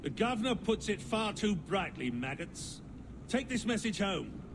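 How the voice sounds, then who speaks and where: An actor playing a haughty, threatening navy commodore who sneers with contempt in a game scene. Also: male voice, high voice, very animated, a little slow, noisy background, exaggerated voice. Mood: contemptuous, threatening. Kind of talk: dramatic game cutscene acting.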